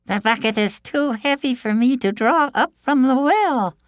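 A man voicing an exasperated, nagging village wife in a folktale, with drawn-out, emphatic falsetto delivery. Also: animated, clear, resonant tone, dry recording, studio quality. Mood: curious, plaintive.

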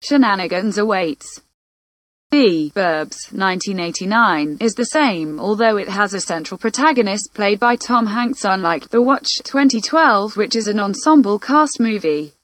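A woman's voice: mid-range voice, animated, a little slow, dry recording. Woman narrating a scripted film review.

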